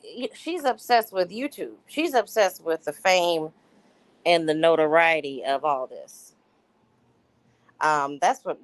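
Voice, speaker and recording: A woman hosting a livestream, reading messages aloud and chatting casually in commentary. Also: fairly low pitch. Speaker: livestream host.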